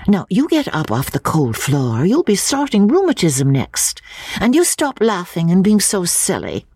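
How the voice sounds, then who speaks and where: Young wife for classic audiobook, played by a woman: brisk, teasing, wistful, highly animated, breathy edge. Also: no room sound, exaggerated voice. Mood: fond scolding. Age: young adult. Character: young English wife, fond, scolding, wistful. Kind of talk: dramatic audiobook reading.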